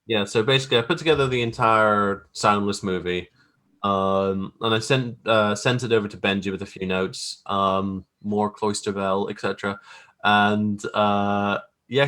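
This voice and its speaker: A man's voice: fairly low pitch, a bit bright. A man talking casually as an interview guest about his creative work, hesitant and thinking aloud. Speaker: guest, graphic artist.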